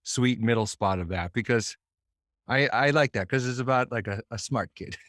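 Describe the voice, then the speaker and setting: A man's voice: mid-range voice, moderate pace, a bit bright, no echo, clean studio sound. A man from Boston, a musician, talking in a thoughtful, meandering way with many restarts as a guest over a video call. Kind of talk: casual interview chat.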